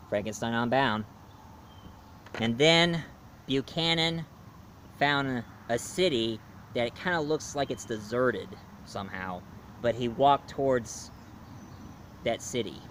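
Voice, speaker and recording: A man reviewing a film in a casual, animated way, retelling the plot and acting out scenes as he goes. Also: medium pitch, slight background noise. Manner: animated storyteller, acts out scenes.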